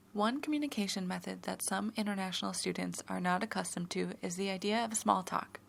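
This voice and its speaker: A woman giving scripted, measured narration that explains ideas step by step. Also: mid-range voice, gravelly, a little hiss or hum.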